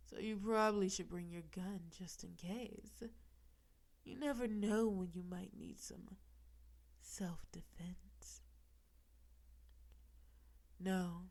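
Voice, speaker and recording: Casting: actress as female crime boss for noir audio roleplay. Slow, gravelly, seductive, smugly teasing, with breathy near-whispers. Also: mid-range voice, a little mellow. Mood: suggestive, teasing. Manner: sultry, breathy teasing, often dropping toward a whisper. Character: flirty, teasing woman mafia boss, smug and seductive. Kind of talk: scripted audio roleplay in film noir cliches.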